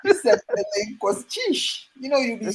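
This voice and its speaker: A woman's voice: sits high, very animated, normal speed. A woman chatting casually, often laughing and giving short backchannels. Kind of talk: casual chat.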